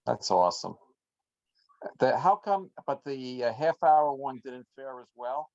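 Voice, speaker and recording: A man's voice: medium pitch, animated, measured pace, a little mellow. A man casually asking questions as a club member at an online meeting, over a video call. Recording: dull lo-fi video call audio.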